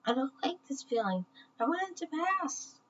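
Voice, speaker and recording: Woman voicing young female chameleon, storybook, sensitive, anxious, raised pitch, noisy dull recording. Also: sing-song, moderate pace, fairly bright tone. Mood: upset. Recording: muffled, noisy room recording.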